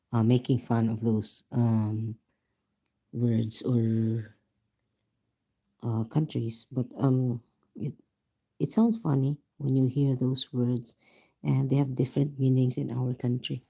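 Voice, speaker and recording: A Filipino-accented livestream host talking slowly and softly from home. The voice is husky with a gravelly edge. The tone is mellow, easygoing chat with viewers. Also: medium pitch, fairly even intonation. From Philippines. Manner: speaking softly and quietly to avoid disturbing neighbors. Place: home, indoors.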